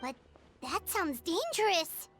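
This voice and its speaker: A tiny, earnest fairy sidekick for a video game. The voice is extremely high, squeaky and childlike, bouncing around excitably, with music clearly playing underneath. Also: female voice, takes it slowly, exaggerated voice. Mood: worried. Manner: squeaky, childlike, refers to herself by name. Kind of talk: English video game voice acting.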